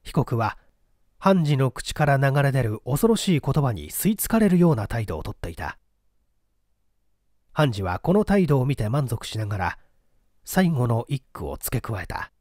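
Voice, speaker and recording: Guy reading a mystery novel out loud, deliberate and dramatic, lots of pitch movement, really dry recording. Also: very animated, no room sound. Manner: deliberate, literary storytelling. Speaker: audiobook narrator, stage actor. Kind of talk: scripted dramatic story narration.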